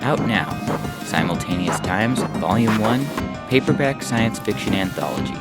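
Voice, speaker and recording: A man's voice: on the low side, lively intonation, normal speed. A man reading scripted announcements as a podcast host. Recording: prominent music bed under the voice.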